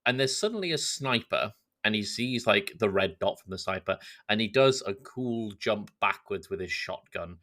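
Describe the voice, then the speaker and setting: A man co-hosting a podcast, talking casually about films in an animated, mocking storytelling way. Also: on the low side, normal speed, hardly any pauses. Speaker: podcast co-host. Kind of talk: casual film discussion.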